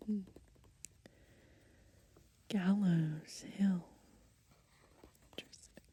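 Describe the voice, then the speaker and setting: A woman whispering softly and slowly in a gentle ASMR style, pausing between short phrases as she reads and browses through a book. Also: fairly low pitch, fairly even intonation. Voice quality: breathy, mostly unvoiced whisper. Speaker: ASMR host. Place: outdoors, lying in grass in the countryside.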